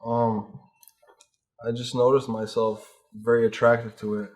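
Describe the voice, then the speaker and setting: A young man from Palestine, a hip hop artist, chatting in a low-key, laid-back way while getting a haircut in a barbershop. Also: flat delivery, unhurried, a little mellow. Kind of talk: casual interview chat.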